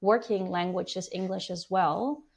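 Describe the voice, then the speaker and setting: A German-born woman in her forties talks things through in a measured, thoughtful way, her voice sitting low and gravelly. She speaks into a wired earphone mic on a video call from a home room. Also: steady tone. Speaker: guest, business executive. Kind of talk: conversational interview. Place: home room with slanted ceiling window, indoors.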